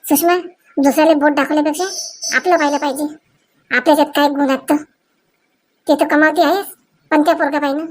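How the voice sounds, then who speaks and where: A woman voicing excitable, quarrelsome village women in a cartoon comedy, with a rural Vidarbha Marathi accent and a scolding, exclamatory manner. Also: high voice, fast, pauses often, close to an everyday voice. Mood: aggrieved. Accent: Marathi, Vidarbha dialect.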